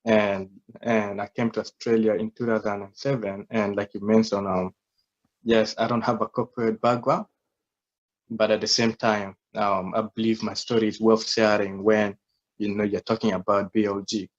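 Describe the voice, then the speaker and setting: A man's voice: lowish voice, little pitch movement, normal speed, muffled recording. A young man from South Sudan in his twenties, a humble and reflective guest telling his story in a casual interview.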